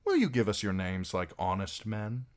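A roguish travelling minstrel in a storybook, voiced by a man. He is genial and wry, with a very animated pitch that keeps sliding about as he teases and coaxes. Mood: genial, sly. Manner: teasing, wheedling, drawn-out. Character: wry, genial roguish wandering male singer.

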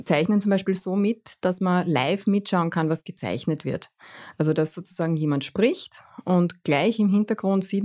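A middle-aged woman with a Viennese accent, a guest explaining her drawing work in an interview, pausing often with fillers as she thinks. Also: on the low side, a little mellow. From Vienna, Austria. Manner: thoughtful, frequent hesitations. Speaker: guest, graphic recorder. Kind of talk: interview answers.

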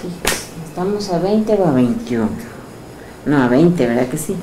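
A middle-aged woman with a Mexican accent, a hypnotherapist who guides a session with soft, slow suggestions and then chats warmly with her client in a small indoor room. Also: low-pitched, noisy background. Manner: soft, slow counting and suggestions, then lively coaxing questions.

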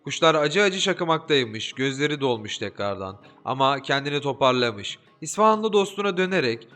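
A man reading a folk tale aloud as an audiobook narrator, expressive and lively, giving the characters their own voices. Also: mid-range voice, normal speed. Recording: soft background music.

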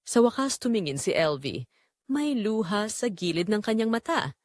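A woman narrating a scripted dramatic story in a solemn, deliberate, emotive way. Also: mid-range voice, lively intonation, no room sound, studio quality.

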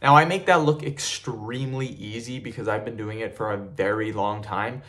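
A man's voice: on the low side, moderate pace, bright, forceful tone. A young man giving a casual home vlog with a loose, chatty manner, full of ums and repeated words, filmed by the camera in front of him in a small home gym. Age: young adult. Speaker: fitness vlogger. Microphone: camera mic in front of him, head and shoulders in frame.

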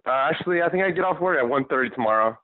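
A man's voice: on the high side, lively intonation, very fast, no room sound. An American man in a casual phone chat, hesitant and polite, heard over a band-limited phone line. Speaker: online seller receiving a prank call. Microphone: telephone.

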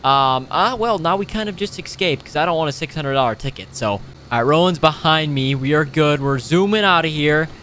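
A young man doing casual, excitable roleplay commentary over a video game, animated and slangy with frequent exclamations. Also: on the high side, brisk, few pauses, a little hiss or hum. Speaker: gaming video host.

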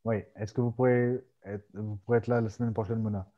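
A man from Aix-en-Provence hosting a casual video-call Q&A, relaxed and chuckling, with frequent hesitant restarts. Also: lowish voice, brisk. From France, Aix-en-Provence. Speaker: podcast host and moderator. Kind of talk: casual Zoom Q&A chat. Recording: dull muffled call audio.

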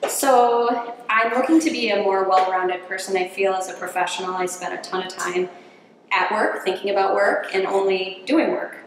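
A woman's voice: mid-range voice, steady tone, normal speed. A woman in her thirties talking candidly and self-deprecatingly about learning to cook, interviewed in her home kitchen on a distant camera mic. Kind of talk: casual interview while cooking. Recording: roomy kitchen sound with faint background hum.

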